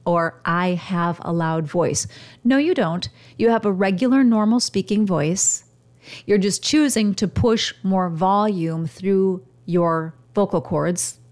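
A woman with a radio background coaches listeners on how to use their voice. She talks into a studio microphone with steady, deliberate pauses and sometimes raises or lowers her volume to show the point she is making. Her pitch sits in the middle for a woman. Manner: demonstrates volume changes with her voice; uses dramatic pauses, radio-trained delivery. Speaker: podcast host and communication coach. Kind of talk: instructional monologue.

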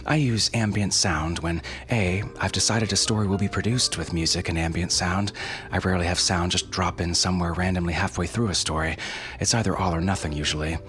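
A man's voice: low-pitched, fairly even intonation, brisk, fairly bright tone, soft background music. A man hosting a podcast, reading out questions and giving scripted, explanatory answers. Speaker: podcast host.

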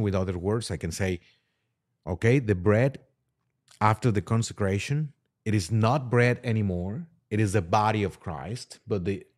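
Low-voiced man, halting and deliberate. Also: darkish tone. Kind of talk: religious discussion, reading aloud and commenting.

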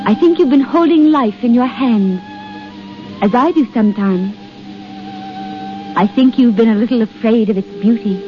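A woman acts a tender young sweetheart, romantic and unafraid. The voice sits fairly high, with earnest 1930s stage-like delivery. The old radio sound is muffled, with music behind. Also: moderate pace, long pauses, natural voice. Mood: dreamy tenderness. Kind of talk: 1930s radio drama acting.

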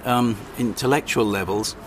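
A man's voice: mid-range voice, normal speed, faint music behind. Hesitant older male journalist guest. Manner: hesitant, many ums and restarts, wry. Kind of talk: interview answers.

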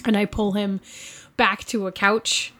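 A woman in her forties, a podcast host playing a tabletop role-playing game, playful and quick to laugh. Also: mid-range voice, little pitch movement, pushed and bright.

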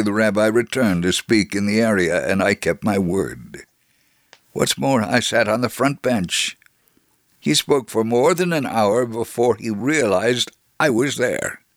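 A man reading a first-person testimony aloud on the radio in an earnest, measured storytelling manner. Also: lowish voice, no echo, clean studio sound.

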